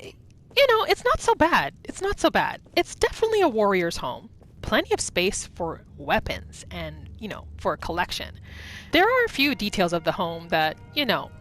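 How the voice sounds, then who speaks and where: Woman doing casual roleplay game narration, bright chatty voice, soft music behind her. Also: mid-range voice. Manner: chatty, informal, storytelling in third person. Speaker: gaming video host.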